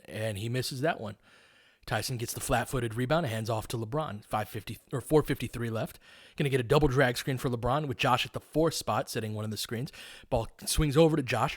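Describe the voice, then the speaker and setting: Man, mid-range pitch, basketball play-by-play host, live commentary, casual, conversational, analytical.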